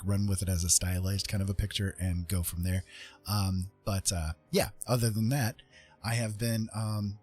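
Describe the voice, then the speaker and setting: A man's voice: fairly low pitch, breathy edge. A man hosting a casual art livestream, talking in a friendly, welcoming, rambling way. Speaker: livestream host, digital artist. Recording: music playing behind the voice. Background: music.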